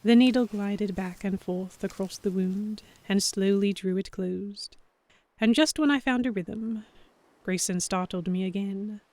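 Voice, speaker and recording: An earnest, anxious young heroine voiced by a woman tells her story in a mid-pitched voice. The delivery is measured and expressive, like a dramatic audiobook, and the sound is very dry and close. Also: dry recording, natural voice. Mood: tense, introspective. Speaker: narrator and lead character. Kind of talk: first-person dramatic audiobook-style narration.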